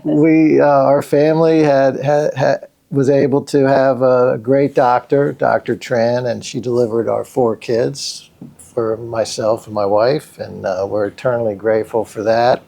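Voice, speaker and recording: A middle-aged man with an American accent hosting a podcast conversationally, somewhat halting and repeating words. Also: medium pitch, flat delivery, creaky, mellow. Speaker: podcast co-host, company president.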